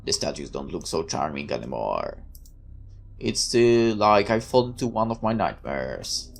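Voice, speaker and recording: A man's voice: deep voice, big pitch swings, normal speed, gravelly. A man reading a visual novel aloud in the first person and breaking off for hesitant, rambling asides full of fillers. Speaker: game streamer.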